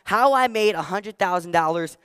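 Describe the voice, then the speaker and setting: A fourteen-year-old boy giving a motivational talk on stage, energetic and emphatic, repeating his key phrases. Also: high-pitched, moderate pace, clear, resonant tone, no echo, clean studio sound. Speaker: motivational speaker. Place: stage before a live audience.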